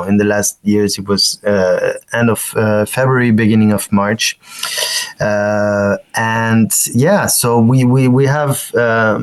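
A man in his forties with a French accent, speaking English as a second language. His voice sits fairly low, and he talks in a halting way, stopping and restarting often with fillers. He is a guest on a radio interview. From France. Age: 40s. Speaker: festival program director.

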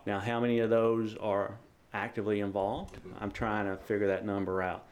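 A man's voice: fairly low pitch, level tone, normal speed. A middle-aged man, explaining things informally as an interviewed radio operator.

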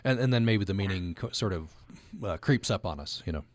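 A man hosting a conversational radio interview, speaking haltingly and repeating words as he forms his thoughts. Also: sits low, lively intonation, no echo. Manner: halting, repeats words while forming questions.

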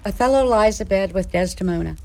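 A woman's voice: medium pitch, fairly quick, darkish tone. A woman reading scripted narration and stage directions for a radio drama.

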